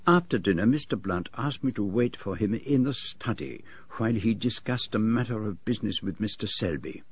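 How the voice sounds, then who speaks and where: An actor playing a courteous, observant middle-aged foreign detective in a radio drama, speaking and narrating in formal, measured, precise phrases. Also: male voice, lowish voice, lively intonation, breathy edge, no echo. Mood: reflective, intrigued. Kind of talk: BBC radio drama dialogue and first-person narration.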